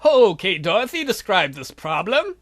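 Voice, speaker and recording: A man's voice: high-pitched, sing-song, normal speed, a bit bright, dry recording, heavily acted. A man voicing an irritable, sardonic, foul-mouthed vampire count in a visual novel. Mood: sardonic, commanding. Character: irritable, sardonic male vampire count, protective head of family.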